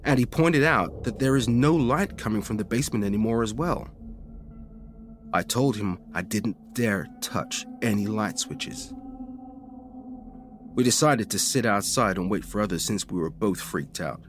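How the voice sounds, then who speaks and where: A man's voice: lowish voice, measured pace, soft background music. A man reading a scripted first-person ghost story in a dramatic storytelling manner. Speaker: story reader.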